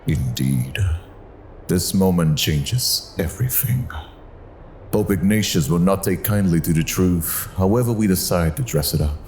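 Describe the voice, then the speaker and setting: A man's voice: deep voice, lively intonation, measured pace, darkish tone, a little hiss or hum. A man playing a stern, realistic demon of royal rank in an audio drama, speaking in a sober, dry, formal manner. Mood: grave.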